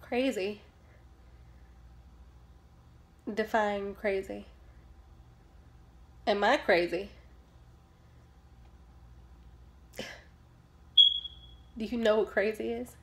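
Woman acting out a defensive, grieving mother, gravelly creak. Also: medium pitch, close to an everyday voice. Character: grieving, defensive mother questioned about her sanity. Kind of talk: dramatic monologue acting.

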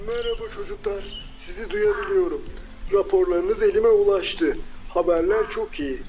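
A man's voice: high-pitched, normal speed, music in the background, pitched above the performer's own voice, close to an everyday voice, rough recording. A man playing a formal, kindly president. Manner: formal, congratulatory speech. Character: kindly grown male president, proud and formal.

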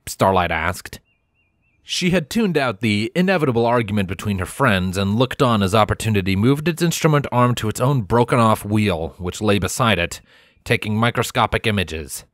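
A man's voice: on the low side, expressive, normal speed, fairly bright tone, no echo, clean studio sound. A man reading a scripted story as its narrator. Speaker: audiobook-style fan fiction narrator.

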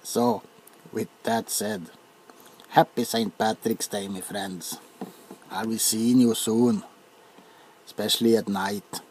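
A man voicing a greedy, mischievous male leprechaun in a put-on Irish accent, speaking low and often in a whisper in a comic ASMR roleplay. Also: steady tone, slow, long pauses, a little mellow, a little hiss or hum, low-quality recording. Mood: sly. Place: dark room, close to camera.

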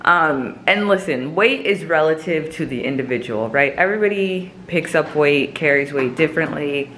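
A chatty woman with a slightly low voice talks casually to the camera at home, rambling and laughing. A faint hiss sits under her voice. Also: moderate pace, fairly bright tone. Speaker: vlogger. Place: home kitchen indoors.

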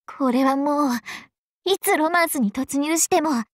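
Girlish game dragon princess, extremely high voice, unhurried, sweet, doting, theatrical, polite. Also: no room sound, studio quality. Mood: coy, lovestruck. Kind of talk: Japanese mobile game character voice acting.

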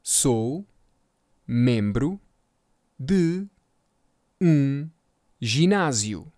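A speaker with a European Portuguese accent, slowly repeating phrases word by word for learners. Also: medium pitch, animated, darkish tone, no echo, clean studio sound. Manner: slow, carefully articulated word-by-word repetition. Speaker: language teacher. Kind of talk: slow repetition drill for learners.

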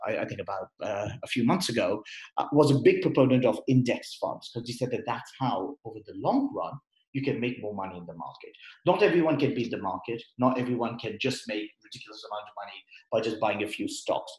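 A man giving a conversational webinar talk on investing, explaining with fillers and restarts over a computer mic. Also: medium pitch. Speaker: guest speaker at an investing webinar. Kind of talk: webinar presentation. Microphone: computer mic on a video call. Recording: roomy echo.

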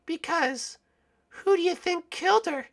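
A man voicing a cruel, menacing villain back for revenge in a hobby character skit, with slow, taunting delivery. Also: very high-pitched, gravelly, a bit bright, full character voice.

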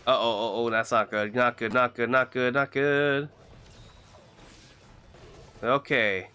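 A young man with a congested voice from a cold, casually commenting on his own gameplay and shouting out when his character gets hit. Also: mid-range voice, fairly even intonation, slow pace, a little hiss or hum. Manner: chatty, reacting loudly to in-game hits. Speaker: gaming channel host.

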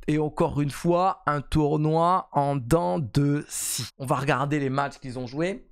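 A man's voice: fairly high pitch, a little slow, clean tone. A man in his thirties casually hosting esports news, chatty and emphatic, with lots of fillers, into a studio mic in a home streaming room. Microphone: studio mic on boom arm.

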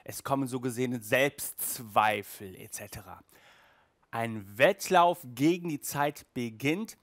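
A man's voice: medium pitch, takes it slowly, no room sound. A man in his thirties presents a casual review in a chatty, emphatic way. He takes his time, dropping in little tag words as he goes. The headset sound is close and dry. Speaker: audio drama reviewer and host. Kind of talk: casual review talk to camera. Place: small indoor room at home.

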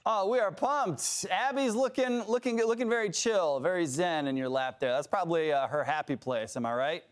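Anchor: lively, jokey man, high register, studio news banter. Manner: upbeat, jokey, quick banter.

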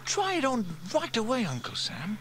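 A man's voice: extremely high pitch, wildly swooping pitch, moderate pace, a bit bright, slight background noise, voice pushed up, full character voice. A man voicing an earnest, eager little girl with emphatic delivery in a children's story. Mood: delighted.

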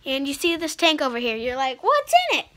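A woman's voice: high voice, animated, a little fast, pushed and bright. A young girl of about ten to twelve chatting casually as she gives a home tour on a handheld phone. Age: child, about 10 to 12. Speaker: amateur vlogger. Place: inside a house, moving from room to room. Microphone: handheld phone at arm's length. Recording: noisy handheld phone recording.

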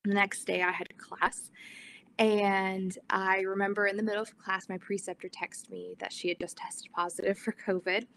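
A woman's voice: mid-range voice, little pitch movement, moderate pace, a bit bright, slight background noise, low-quality recording. A young woman on a video call, telling her story earnestly as a nursing student on a panel, with some fillers. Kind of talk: panel talk.

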